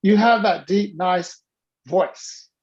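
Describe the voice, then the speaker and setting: Gravelly, animated male business coach. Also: high-pitched, takes it slowly, dull audio. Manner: animated and teasing, coaching a participant by name. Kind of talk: interactive coaching on a video call.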